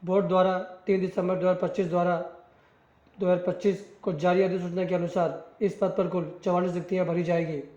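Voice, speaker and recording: A man's voice: high-pitched, level tone, a little fast, mellow. A man presenting scripted informational narration, reading out details and addressing listeners directly, in the style of a job news video. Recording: boxy echoing room, dull lo-fi sound.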